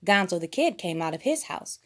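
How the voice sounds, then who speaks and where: A woman's voice: fairly low pitch, sing-song, fairly quick, fairly bright tone. A woman storyteller reading a picture book aloud expressively for children.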